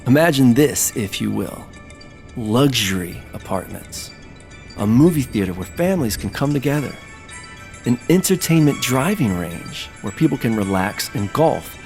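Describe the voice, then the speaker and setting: Man playing a gravelly, persuasive businessman schemer. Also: medium pitch, lively intonation, normal speed, darkish tone, faint music behind. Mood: grand salesmanship. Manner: polished, persuasive, ingratiating. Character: smooth, scheming businessman pitching grand plans, flattering. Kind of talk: audio drama acting.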